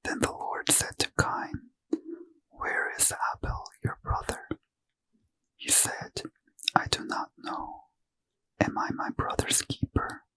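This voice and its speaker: A man softly whispering a Bible reading in ASMR style, close to the mic. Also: no echo, low-quality recording. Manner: slow soft whisper, unvoiced throughout. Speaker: reader.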